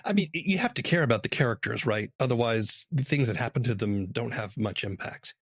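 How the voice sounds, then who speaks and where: Gravelly, husky male interviewer. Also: medium pitch, animated, a little fast, fairly bright tone, dry recording, clean studio sound. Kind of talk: conversational interviewing.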